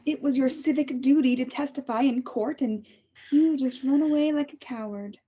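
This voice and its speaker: A woman's voice: sits high, normal speed, warm tone, muffled recording, natural voice. A woman reading a cold, self-righteous captor at a table read, making clipped, controlled threats. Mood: contemptuous.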